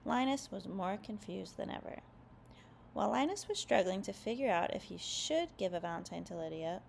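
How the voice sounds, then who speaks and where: A woman narrating a children's read-aloud, expressive and carefully phrased. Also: on the low side, gravelly, a little hiss or hum. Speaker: storyteller for young children.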